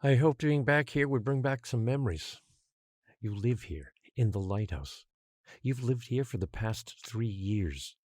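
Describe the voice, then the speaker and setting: Quietly menacing guardian, audio drama, husky, mellow, dry close sound, measured delivery. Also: male voice, mid-range voice, expressive, no echo. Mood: calm, controlling. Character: controlling, patient male guardian and secret master of an island.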